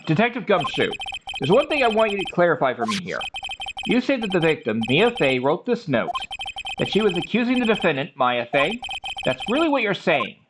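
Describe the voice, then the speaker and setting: A man's voice: sits high, big pitch swings, fairly quick, noisy background. A man voicing a confident young defence lawyer in a courtroom game, questioning in a pointed, insistent way. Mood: firm, challenging. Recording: music behind the voice.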